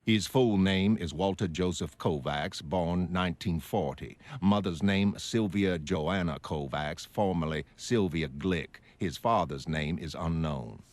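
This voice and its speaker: A man playing an earnest, self-assured psychoanalyst in a motion comic, speaking in a measured, clinical way. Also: deep voice, soft background music. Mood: clinical, optimistic. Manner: measured, clinical, gently coaxing with patient. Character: earnest, self-assured male psychoanalyst dictating case notes. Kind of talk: scripted dramatic narration of a motion comic.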